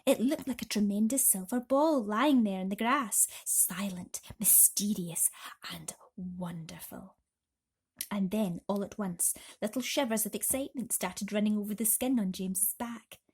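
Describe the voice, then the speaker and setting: A woman reads a bedtime story in an expressive, dramatic manner. Her voice sits at a medium pitch and often sinks into a breathy hush. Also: measured pace. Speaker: storybook reader.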